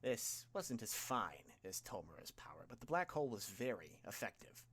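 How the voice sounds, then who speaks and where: A man narrates a piece of fiction in a fairly low voice. He reads from a script as an audiobook narrator, with expressive delivery. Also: moderate pace, husky.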